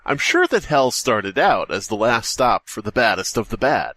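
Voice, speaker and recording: A man's voice: mid-range voice, very animated, normal speed, pushed and bright. A man co-hosting a podcast, joking and riffing as he chats and reads a story aloud. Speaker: podcast co-host. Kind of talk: casual comic chat and story reading.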